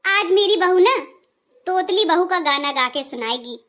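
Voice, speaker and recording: A woman as a very high-voiced fussy mother-in-law. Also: expressive, measured pace, a bit bright, heavily acted. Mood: covering up. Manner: fussy, exasperated, defensive. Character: anxious, fussy mother-in-law covering for her daughter-in-law. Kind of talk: comic family skit acting.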